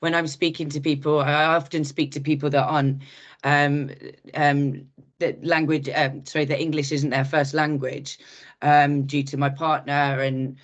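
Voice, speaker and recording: A woman's voice: sits low, little pitch movement, measured pace, highs cut off. A woman hosting a podcast interview, asking long, rambling questions full of ums and restarts. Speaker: podcast host.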